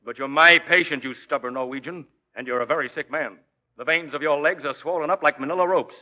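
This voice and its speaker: An actor playing a blunt, bossy, exasperated doctor in a 1940s radio drama, scolding with emphatic delivery. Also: male voice, on the high side, quick pace, lightly acted. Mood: stern. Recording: dull, band-limited 1940s radio recording.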